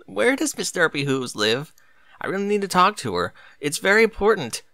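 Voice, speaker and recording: A man's voice: high voice, sing-song, moderate pace, creaky, sharp tone, no room sound, clean studio sound, voice pushed up, full character voice. Man voicing an earnest, nervous unicorn pony suitor. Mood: impatient.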